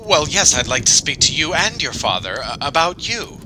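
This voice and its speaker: A nervous, awkward gentleman from a spooky audio play, acted by a man. His fairly high voice wavers expressively as he hesitates and stammers politely. Windy rumbling noise sits behind him. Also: very animated, moderate pace. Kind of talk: audio drama acting.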